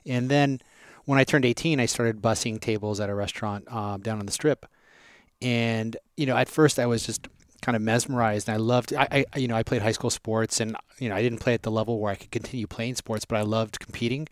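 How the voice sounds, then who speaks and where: A man of ordinary pitch answers interview questions, thoughtful and measured, often restarting his sentences. The sound is dry and close, with no room around it. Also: steady tone, no echo. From Southern California, raised in Las Vegas. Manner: measured, frequent restarts and "you know". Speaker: restaurant group president.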